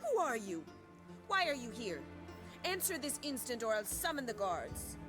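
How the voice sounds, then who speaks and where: An actress playing an imperious, quick-witted young noble lady in a video game, with haughty, commanding, formal diction. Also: fairly high pitch, sing-song. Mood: alarmed, demanding. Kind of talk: video game dialogue acting. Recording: music behind the voice.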